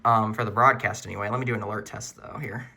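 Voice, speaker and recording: A man's voice: fairly low pitch, steady tone, quick pace, gravelly, heavy background noise. A man hosting a casual show-and-tell in his room at home, talking in an informal, earnest hobbyist way. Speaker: video host showing a weather radio collection.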